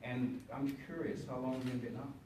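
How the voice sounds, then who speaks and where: An older man moderating a panel, halting and hesitant, full of fillers and restarts. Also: medium pitch, little pitch movement. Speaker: moderator, university teacher. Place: panel room with audience. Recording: echoey room, far from mic, noisy.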